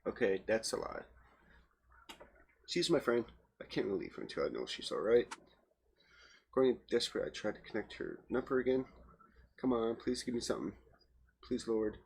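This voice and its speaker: A man's voice: fairly low pitch, lively intonation, normal speed, gravelly, pauses often, husky. A man reading a game's text aloud as a let's play host, stumbling here and there and adding casual asides. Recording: very noisy home recording.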